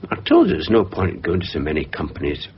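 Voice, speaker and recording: A man's voice: rumbling low, wildly swooping pitch, quick pace, exaggerated voice. An actor in a radio drama playing a hesitant, guarded insurance salesman who keeps his feelings private. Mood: guarded, sad. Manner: hesitant, evasive. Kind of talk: 1990s radio drama acting. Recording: muffled, noisy radio drama recording.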